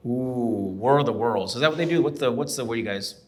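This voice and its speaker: A man co-hosts a laid-back podcast with loose jokes and the odd curse. His voice sits at a medium pitch for a man. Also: brisk. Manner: joking, loose, swears casually. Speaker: podcast co-host. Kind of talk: casual chat.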